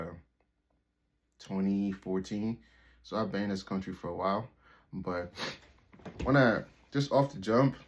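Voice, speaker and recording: Young man from Liberia, very low voice, casual profane storyteller, dull lo-fi recording. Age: 20s. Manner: rambling, loose and profane, full of "like" and restarts. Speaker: YouTuber telling a personal story.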